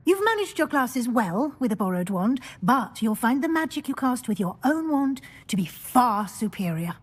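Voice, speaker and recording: An actress voicing a stern older female professor of magic in a video game, speaking in a crisp, wry, authoritative way. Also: a little high, big pitch swings, normal speed. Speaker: deputy headmistress.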